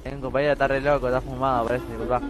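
A man's voice: medium pitch, level tone, racing, over music. A man with a Rioplatense Spanish accent chatting casually as a player in a roleplay game. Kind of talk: casual in-character chat.

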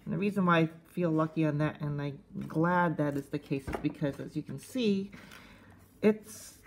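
A woman giving casual, unscripted hands-on review commentary in a halting, conversational way, thinking aloud. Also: low voice. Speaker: host of a cassette collecting video channel. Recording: faint background noise, casual tablet recording.

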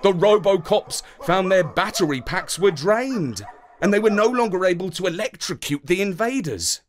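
A man's voice: high voice, expressive, moderate pace. A man reading a children's story in a dramatic, playful way, as scripted narration.

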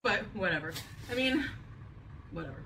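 Woman vlogger, rambling and chatty, bright tone, distant camera mic in a home kitchen, faint room hum. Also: mid-range voice, lively intonation, normal speed. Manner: chatty, rambling, light laughs. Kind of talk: grocery haul vlog. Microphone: camera on the counter.

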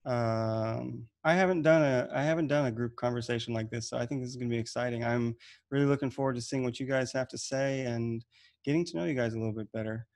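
A man's voice: medium pitch, quick pace. A man in his thirties moderating an online panel on a video call from a room at home, talking in a loose, easygoing way with frequent restarts. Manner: relaxed, repeats words and restarts often. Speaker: webinar host and moderator. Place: home room with shelves of gems.

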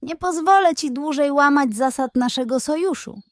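A woman's voice: sits high, normal speed, no echo, studio quality, pitched above the performer's own voice, close to an everyday voice. A woman voicing a proud warrior king in a children's storybook. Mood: angry.

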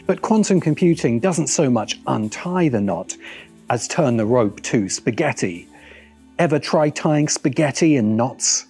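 A man's voice: mid-range voice, a little mellow, slightly rough. Middle-aged man, wry essayist over music. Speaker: video essayist and host. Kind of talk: scripted video essay commentary. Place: open-sided outdoor pavilion by a garden. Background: background music.

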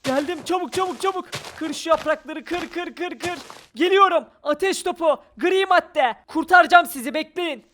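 A gamer giving excited, exclamatory gameplay commentary with role-play, narrating every action aloud in speech. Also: very high-pitched, moderate pace, slight background noise. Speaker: gaming video creator. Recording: music behind the voice. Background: background music.

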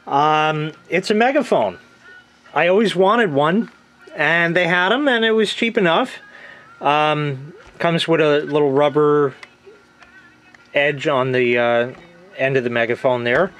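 A man's voice: on the high side, measured pace, pauses often, a bit bright. A middle-aged American man giving a casual hands-on product review at home, plain-spoken and offhand, into a handheld camera's mic. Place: small room at home, laundry area. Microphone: handheld camera mic, close behind the camera.

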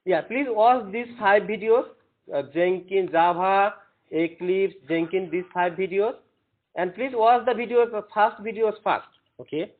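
High-voiced Bengali man lecturing in an echoey room. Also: a little slow. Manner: repeats words, earnest and encouraging. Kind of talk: classroom lecture. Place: classroom. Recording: echoey room, tinny phone-like sound.

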